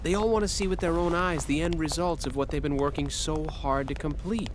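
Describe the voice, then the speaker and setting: A man's voice: on the high side, steady tone, fairly quick, lightly acted. A man playing an eager, slightly flustered young subordinate in a video game, earnest and hesitant. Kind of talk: English game dub acting. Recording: clear background noise.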